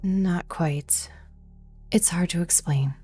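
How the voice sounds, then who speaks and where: An actress playing a wary, secretive, capable woman in a sci-fi horror audio drama, breathy and often close to a whisper. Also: lowish voice, takes it slowly, warm tone, faint music behind. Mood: hushed, cautious.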